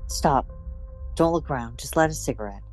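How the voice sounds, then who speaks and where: A woman playing a bold, secretive young rebel lover in a radio play, brisk and teasing. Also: a bass voice, lively intonation, a little mellow, faint music behind. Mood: urgent, secretive.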